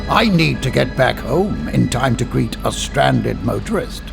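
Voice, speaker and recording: An actor playing a stern older male scientist, secretive and menacing, with a gravelly, measured delivery in old-time radio drama style. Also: mid-range voice, sing-song, exaggerated voice. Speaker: scientist father. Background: music.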